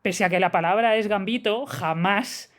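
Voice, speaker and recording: A woman's voice: medium pitch, normal speed. A woman co-hosting a podcast in casual chat, with a Madrid accent, pressing her point insistently. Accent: Madrid Spanish. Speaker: podcast co-host.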